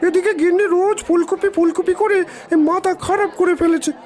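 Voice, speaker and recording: A woman voicing an excited, grateful henpecked husband shopping at a cartoon village market, in a cartoonish voice. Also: very high voice, moderate pace.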